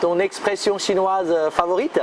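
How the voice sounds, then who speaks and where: Male street interviewer, short friendly questions, light pitch, noisy city background. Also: fairly even intonation, moderate pace. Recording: street noise behind.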